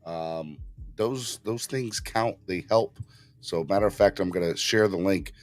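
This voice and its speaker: A man hosting a casual live sports fan podcast, urging viewers to subscribe, with his voice just back after being hoarse. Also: no room sound. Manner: animated, jumps into high put-on voices for quick bits. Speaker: podcast host.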